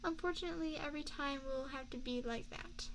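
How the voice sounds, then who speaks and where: A woman playing an affectionate young mafia boss girlfriend in an ASMR roleplay, speaking in a soft, confiding, measured way close to a binaural microphone. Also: sits high, slight background noise, rough recording. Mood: tender, resigned. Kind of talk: ASMR girlfriend roleplay, scripted intimate monologue.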